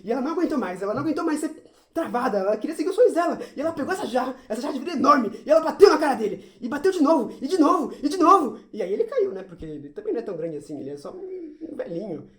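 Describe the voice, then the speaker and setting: A woman acts out a naive, scatterbrained young-sounding guy in a comic audio drama, in a roomy, echoey space. He talks fast and high, rambling and repeating himself with big swings of pitch. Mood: dramatic, worked up. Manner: rambling, repetitive, dramatic storytelling. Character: Alberto, naive, excitable, scatterbrained male amateur sleuth.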